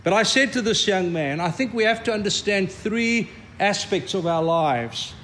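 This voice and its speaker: An older man with a South African accent, Afrikaans being his first language, leads a congregation in a sermon that sounds like a conversation. His voice sits high for a man. He talks in a reflective way and often says a word twice before a thought gets going. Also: animated, moderate pace, a bit bright, a little hiss or hum. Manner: reflective, repeats words when starting a thought. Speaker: pastor.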